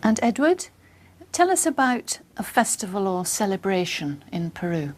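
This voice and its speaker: A woman working as an oral examiner, asking scripted questions in a formal, measured way. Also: mid-range voice, sing-song.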